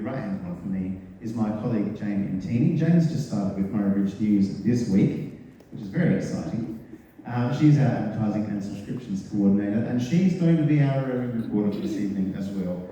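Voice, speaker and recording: A man hosting a public forum in a town hall, introducing the guests in a relaxed, friendly way. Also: lowish voice, fairly quick, a little hiss or hum. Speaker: forum host. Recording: echoey hall from far off.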